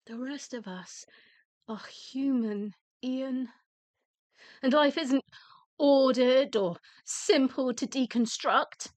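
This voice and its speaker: An actress in a radio drama playing a weary, emotional widow who speaks in halting, deliberate phrases. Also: female voice, fairly high pitch, dry recording. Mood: weary, sad. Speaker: actor in a radio play.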